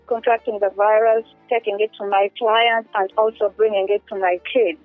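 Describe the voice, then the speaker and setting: A woman's voice: medium pitch, little pitch movement, normal speed, dry recording, soft background music. A woman in her forties, from Uganda, a home care worker speaking plainly and earnestly about her work. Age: 40s. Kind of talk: interview clip. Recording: phone-line sound.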